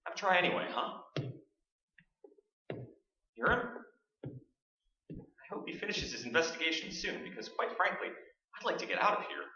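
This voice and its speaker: Man acting a jittery, high-voiced radio broadcaster, echoey hall. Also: animated, moderate pace, long pauses, pushed and bright. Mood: uneasy, chatty. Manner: jittery, rambling, stammering. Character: nervous, chattering radio broadcaster alone in a haunted house. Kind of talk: staged radio play acting. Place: large hall stage, staged performance. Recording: very echoey stage recording from far off, muffled.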